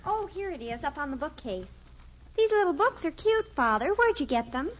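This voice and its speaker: High-voiced, pert teenage daughter. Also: female voice, big pitch swings, moderate pace. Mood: eager, casual. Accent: American. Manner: pert, exasperated. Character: teenage daughter, pert and scornful of her little brother. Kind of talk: 1950s radio sitcom acting. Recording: muffled, faintly noisy 1950s radio recording.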